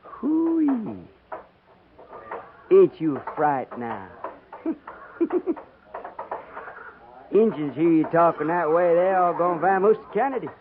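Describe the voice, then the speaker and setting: Griping Western trooper, tinny radio. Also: male voice, on the high side, very animated, a little slow, pauses often. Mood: taunting. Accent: American. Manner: folksy, griping, needling drawl. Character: grumbling, taunting cavalry trooper of the old West. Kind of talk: 1950s radio acting. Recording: tinny, noisy 1950s radio recording.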